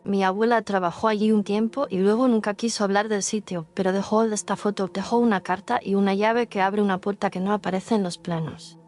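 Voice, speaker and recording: A woman plays a young female paranormal streamer in an audio horror tale. She is ambitious and sure of herself, pitching ideas persuasively in a mid-range voice. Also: fairly even intonation, fairly quick, hardly any pauses, darkish tone, soft background music, lightly acted. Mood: intriguing. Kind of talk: scripted horror audio drama.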